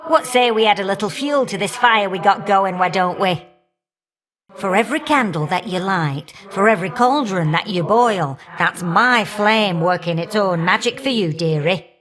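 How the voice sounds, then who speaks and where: A woman's voice: medium pitch, animated, moderate pace, a bit bright, close to an everyday voice. Woman doing a fiery older goddess for a game, cheeky and folksy, teases you fondly. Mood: cheeky, affectionate. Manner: folksy, teasing, affectionate endearments and colloquial turns of phrase. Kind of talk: video game character voice acting.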